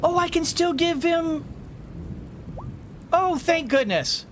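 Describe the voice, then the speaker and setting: A woman's voice: very high-pitched, expressive, takes it slowly, slight background noise. A middle-aged woman giving casual gameplay commentary, rambling, talking to herself and exclaiming playfully. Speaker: let's-play host.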